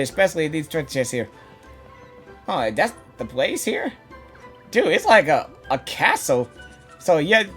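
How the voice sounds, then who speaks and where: A woman's voice: mid-range voice, a bit bright. A woman streamer giving casual, rambling reactions to a game as she plays, often repeating her words. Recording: game music behind voice.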